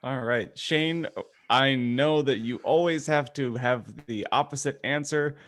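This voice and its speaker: A man's voice: mid-range voice, unhurried, a bit bright. A man hosting a casual comedy podcast, teasing and playful with the others. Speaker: podcast host.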